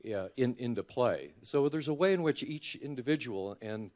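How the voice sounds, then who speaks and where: A man making a halting, thoughtful comment in academic language during a conference discussion. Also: medium pitch, expressive, darkish tone. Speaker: audience member, design educator.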